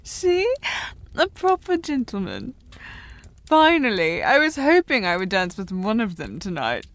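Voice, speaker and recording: A woman's voice: medium pitch, sing-song, unhurried, dry recording. A woman playing a tipsy, haughty, teasing young noblewoman in an intimate period audio drama, with a slightly slurred delivery and an affected, sliding accent. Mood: playful, giddy. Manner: slightly slurred drunk delivery, playfully imperious, formal wording.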